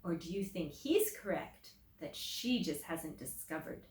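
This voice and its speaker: Lady teacher doing kids story time, takes it slow, asks questions, echoey and far from the mic. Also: on the low side, big pitch swings, a little mellow. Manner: simple, deliberate explaining with prompting questions. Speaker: teacher reading a picture book aloud to children. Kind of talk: children's story time read-aloud with questions to listeners. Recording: roomy echo, distant microphone.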